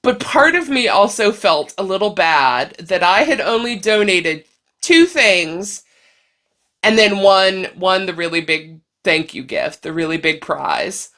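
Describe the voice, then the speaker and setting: A woman's voice: mid-range voice, expressive, unhurried, a bit bright. A woman hosting a crochet vlog in a casual, playful, chatty way, sounding slightly stuffed up with a cold. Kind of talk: casual chatty talk to camera.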